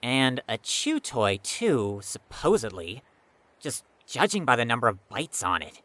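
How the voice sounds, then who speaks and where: Fussy wordy youngster, low voice, swooping intonation, sardonic, cautious, audio drama. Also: female voice, big pitch swings, a little slow, fairly bright tone, no echo, full character voice. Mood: dry, sardonic. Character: formal, wordy, cautious, dry-witted young person.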